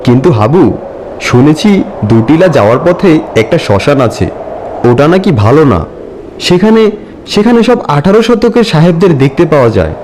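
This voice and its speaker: A man's voice: a little high, big pitch swings, moderate pace, a little mellow, slight background noise, rough recording. A man playing a superstitious, anxious forest guard who repeats himself in a horror cartoon. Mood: fearful. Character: jumpy, superstitious forest guard, afraid of ghosts. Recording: faint music behind the voice.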